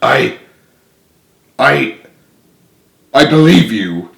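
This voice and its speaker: A man's voice: medium pitch, sing-song, extremely slow, vocal fry, fairly bright tone, slight background noise, heavily acted. A man voicing a bitter, wounded, self-loathing male superhero in a storybook reading, with anguished emotion. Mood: miserable. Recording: roomy, echoey home recording.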